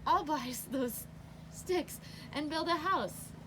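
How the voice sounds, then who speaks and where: Woman doing a plucky, high-voiced little pig. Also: animated, takes it slowly, noisy background. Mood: cocky, dismissive. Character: plucky, dismissive little male storybook pig.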